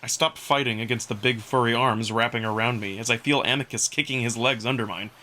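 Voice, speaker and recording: A man narrating a first-person visual novel story in an expressive, dramatic style. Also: medium pitch, brisk, slight background noise.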